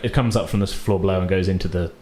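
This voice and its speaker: Low-pitched man running a tabletop game. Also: steady tone, rapid-fire, heavy background noise. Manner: conversational, hedging descriptions with "kind of" and "sort of". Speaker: game master. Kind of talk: narrating a tabletop role-playing game.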